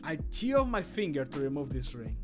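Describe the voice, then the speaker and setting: Dramatic male fantasy game character read by a man, slightly high voice, soft music behind. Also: very animated, a little fast. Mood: grim. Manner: shouted battle cries in combat lines. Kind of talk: recording game voice lines.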